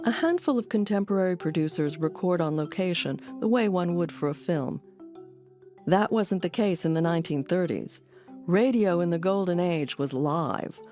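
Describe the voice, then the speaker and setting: A woman's voice: fairly low pitch, expressive, normal speed, mellow, soft background music. A woman hosting an audio documentary, delivering scripted narration. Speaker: documentary host.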